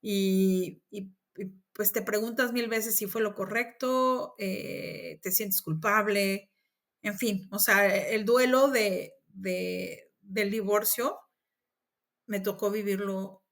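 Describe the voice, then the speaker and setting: A woman with a Mexican accent telling her own story in a casual conversation, with lively acted-out dialogue. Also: medium pitch, slow. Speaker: interview guest.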